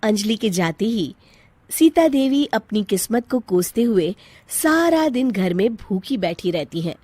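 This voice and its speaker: Slow female storyteller, close dry sound. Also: medium pitch, big pitch swings, darkish tone, husky, no echo. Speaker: narrator. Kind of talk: scripted story narration.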